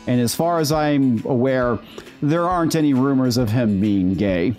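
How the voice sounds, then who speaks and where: A man's voice: medium pitch, moderate pace, darkish tone, soft background music. A man delivering scripted critical commentary in a sarcastic, mocking manner. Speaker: video host, TV critic.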